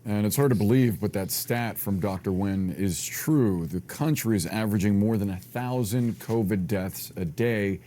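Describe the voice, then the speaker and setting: A man's voice: fairly low pitch, warm tone, slight background noise. An American man presenting broadcast news as an anchor, reading scripted links and interviewing guests.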